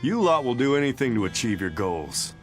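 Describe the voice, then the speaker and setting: An actor playing a brash male spirit and brawler in a game, talking in a rough, swaggering way. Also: medium pitch, big pitch swings, fairly quick, faint music behind, lightly acted.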